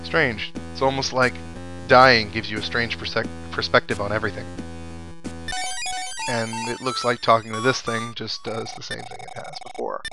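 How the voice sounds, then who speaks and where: A man plays through a video game and comments casually as he goes. His voice sits at an average pitch, and he muses to himself between readings of on-screen text. Game music plays clearly behind him. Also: flat delivery, moderate pace, rough recording. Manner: musing, talks to himself. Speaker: let's play commentator. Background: video game music and sound effects.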